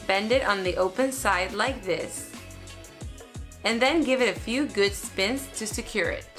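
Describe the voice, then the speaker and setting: A woman's voice: mid-range voice, a bit bright, reverberant. A woman from Mexico presenting an upbeat, step-by-step craft tutorial.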